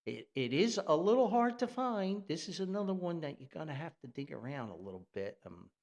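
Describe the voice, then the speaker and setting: A man's voice: on the high side, sing-song, a little fast, darkish tone. A man with a New Jersey accent, hosting a podcast and talking about music in a rambling, enthusiastic way, with fillers and chuckles. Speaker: podcast host and music critic. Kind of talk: casual, unscripted podcast commentary.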